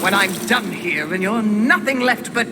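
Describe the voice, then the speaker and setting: A woman's voice: medium pitch, expressive, normal speed, heavy background noise, natural voice. A woman playing a haughty, spiteful female demon villain in a video game, with a taunting, theatrical, venomous delivery. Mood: gloating. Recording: long hall reverb under loud music.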